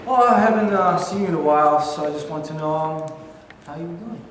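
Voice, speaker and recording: A man reading as a pushy middle-aged uncle, coaxing and full of small hesitations, in a high voice. The sound is roomy, with a long echo from a bare rehearsal studio heard through a far camera. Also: fairly quick, background noise, lightly acted. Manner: persuasive, hesitant with fillers. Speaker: actor in a staged reading. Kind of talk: staged play reading.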